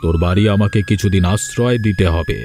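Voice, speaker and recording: A man voicing a calm, commanding holy man in a Bengali cartoon. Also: low-pitched, quick pace, close to an everyday voice. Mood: calm, solemn. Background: background music.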